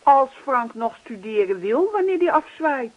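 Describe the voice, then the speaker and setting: Casting: chiding but loving middle-aged wife for radio drama. High, very lively, slightly raspy. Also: female voice, unhurried, heavily acted. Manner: chiding, teasing, coaxing. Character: middle-aged wife, teasing, reproachful yet affectionate. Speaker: actor. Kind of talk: naturalistic radio play acting. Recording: dull, muffled radio play recording.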